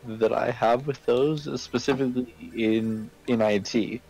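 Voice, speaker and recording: A man in a casual voice chat, explaining things in a rambling, halting way. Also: medium pitch, steady tone, creaky, a little mellow, rough recording. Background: faint music.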